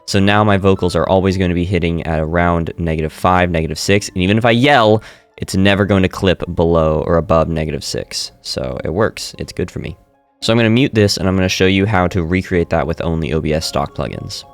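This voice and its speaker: A man with a very low voice talks through his audio setup in a relaxed tutorial, pausing to correct himself as he works. He speaks into a studio microphone at a home streaming desk. Faint music plays behind him. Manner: relaxed, self-correcting explainer. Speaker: streamer demonstrating audio software. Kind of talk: casual screen-recorded tutorial. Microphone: studio dynamic mic through audio interface.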